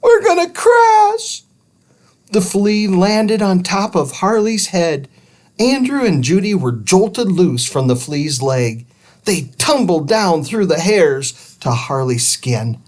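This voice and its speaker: A male reader narrates a children's book at an unhurried pace. His delivery is dramatic and highly animated, stretching words to build suspense. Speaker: storybook reader. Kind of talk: expressive children's audiobook narration.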